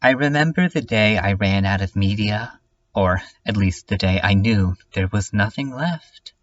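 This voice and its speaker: Man acting a slow, desolate lone survivor. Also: on the low side, highs cut off. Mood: bleak, confessional. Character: lonely, despairing last survivor narrating a bleak diary. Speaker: narrator of a horror comedy fan dub. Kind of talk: slow first-person scripted narration.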